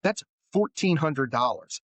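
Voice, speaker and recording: A man's voice: fairly high pitch, lively intonation, unhurried, a bit bright, no room sound. A man hosting a podcast, chatting casually and enthusiastically with his guest, full of friendly compliments. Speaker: podcast host. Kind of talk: casual interview chat.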